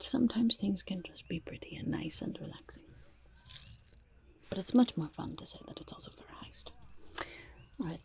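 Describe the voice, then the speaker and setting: A woman voicing a scheming, chatty goblin market trader in a breathy, raspy whisper, as an ASMR roleplay with sly, coaxing sales patter. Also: darkish tone, exaggerated voice. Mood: helpful, salesy. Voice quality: breathy, raspy whisper with creaky low murmurs.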